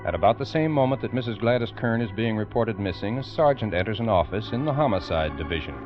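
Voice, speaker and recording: A man's voice: fairly low pitch, lively intonation, fast talker, loud music behind the voice. An American man narrating an old radio crime program in a solemn, emphatic, theatrical manner, on a muffled recording. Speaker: narrator and host.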